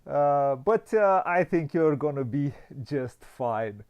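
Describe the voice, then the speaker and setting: Host, male, slightly high voice, hesitant delivery with frequent pauses and restarts. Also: expressive, lo-fi sound, crowd noise. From Romania. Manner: hesitant, many fillers and restarts. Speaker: interview host. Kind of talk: casual video interview.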